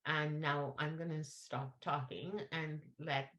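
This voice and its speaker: A woman from Guyana facilitating an online panel, talking in a halting way with many restarts. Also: low voice, fairly even intonation, fairly bright tone, echoey room, dull audio.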